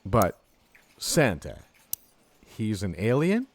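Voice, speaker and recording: A man's voice: fairly low pitch, huge pitch swings, takes it slowly, dry recording, full character voice. A man playing a baffled, incredulous everyman in a comic audio drama. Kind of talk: audio drama comedy acting.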